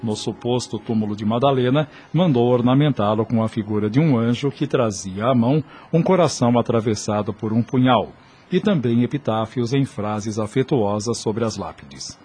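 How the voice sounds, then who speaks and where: Husky male radio drama narrator. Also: mid-range voice, normal speed, dark tone. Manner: solemn, literary.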